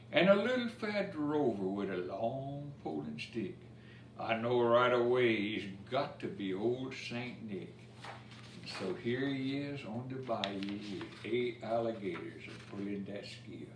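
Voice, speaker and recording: A man reads a picture book in Cajun dialect verse for story time, taking his time and telling it expressively. His voice sits at a middling pitch. The recording is echoey and picked up from some distance, with faint noise.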